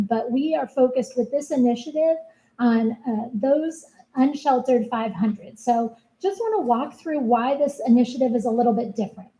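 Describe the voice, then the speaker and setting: Soft-spoken bright woman presenter. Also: high-pitched, poor audio. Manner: soft-spoken, earnest, steady. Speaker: city homelessness program manager. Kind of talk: public presentation at a town hall. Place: community meeting room.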